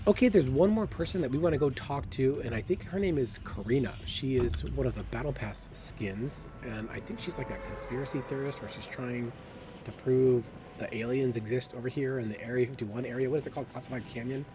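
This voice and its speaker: A man's voice: mid-range voice, brisk, background noise. A man hosting a gaming video with casual, chatty commentary, thinking aloud and enthusiastic about the game. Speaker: gaming video creator and host.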